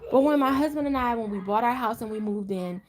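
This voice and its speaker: A woman's voice: a little high, fast, darkish tone. A middle-aged woman from Delaware chatting casually on a live stream, rambling and restarting her sentences as she talks. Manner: rambling, conversational, frequent restarts. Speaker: vlogger.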